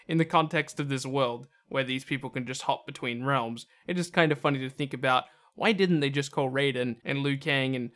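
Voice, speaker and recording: A man's voice: medium pitch, fairly bright tone. Conversational man hosting a countdown. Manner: conversational, opinionated. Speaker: video host. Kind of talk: casual countdown commentary.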